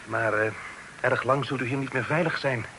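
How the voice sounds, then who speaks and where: An actor playing a courteous, forthright young gentleman in a Dutch radio play with formal old-fashioned delivery. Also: medium pitch, fairly even intonation, moderate pace, lightly acted. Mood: warning. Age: young adult. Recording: old radio play recording, background noise.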